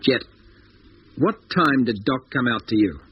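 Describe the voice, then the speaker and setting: An actor playing a no-nonsense, matter-of-fact spaceship engineer in a 1950s radio drama. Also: male voice, mid-range voice, expressive, measured pace, close to an everyday voice. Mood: probing. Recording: dull, hissy 1950s radio recording.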